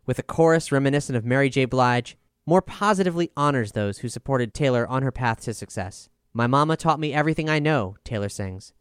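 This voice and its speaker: A man with a smooth voice narrating a scripted music review as a voice-over. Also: mid-range voice, no echo, studio quality.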